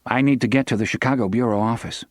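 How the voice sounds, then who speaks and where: A man voicing a resourceful young husband who talks quickly and urgently in an audiobook. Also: medium pitch, fairly even intonation, a little mellow, no room sound, clean studio sound, close to an everyday voice.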